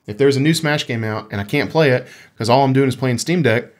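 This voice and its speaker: A man's voice: mid-range voice, quick pace. A man co-hosting a podcast, chatting casually and emphatically about video games in an informal way. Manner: enthusiastic, emphatic, informal with swearing. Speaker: podcast co-host.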